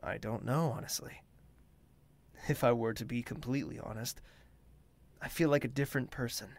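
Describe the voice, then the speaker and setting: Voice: mid-range voice, normal speed, close to an everyday voice. An actor playing a haughty, demanding young prince in an audio drama, with a clipped, formal, imperious way of talking. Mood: guarded contentment.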